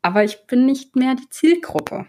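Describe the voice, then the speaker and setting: A woman's voice: high-pitched, animated, normal speed, darkish tone. A young adult woman co-hosting a podcast in casual chat, thoughtful and hesitant with many fillers. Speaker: podcast co-host.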